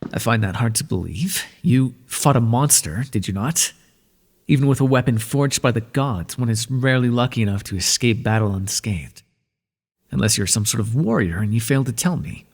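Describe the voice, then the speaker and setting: A man playing a gentle male god of the underworld in an audio roleplay, concerned and lightly teasing. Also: mid-range voice, expressive, moderate pace. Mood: fond, concerned. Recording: long hall reverb.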